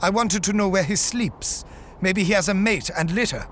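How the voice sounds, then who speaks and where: An actor playing a bold, shrewd rival hunter in a science fiction audio drama, with a declamatory, challenging delivery. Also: male voice, high-pitched, normal speed, a little hiss or hum, natural voice. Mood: bargaining.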